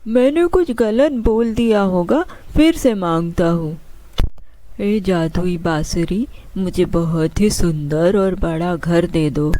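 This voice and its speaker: A woman's voice: on the low side, mellow, a little hiss or hum, poor audio. A woman voicing a sly, whining young boy in a children's cartoon, talking in an exclamatory way. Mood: eager. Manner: slow, exclamatory, talking to himself. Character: sly, whining young boy who steals a magic flute. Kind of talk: Hindi children's cartoon dialogue. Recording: music under the voice.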